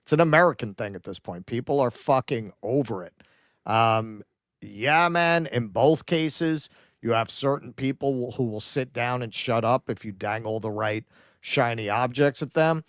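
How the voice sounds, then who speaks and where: A man's voice: medium pitch, a little slow, a bit bright, no echo, highs cut off. A man co-hosting a casual livestream, talking bluntly, swearing freely and calling his listener "man". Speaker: co-host.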